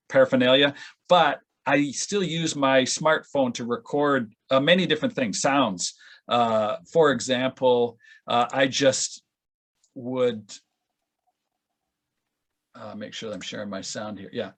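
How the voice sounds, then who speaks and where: A man with a mid-pitched voice leads an informal tutorial over a video call. He explains each step in a halting, practical way, as someone demonstrating audio editing to colleagues. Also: fairly bright tone. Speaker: audio editor demonstrating at a conference session. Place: online video call with screen sharing.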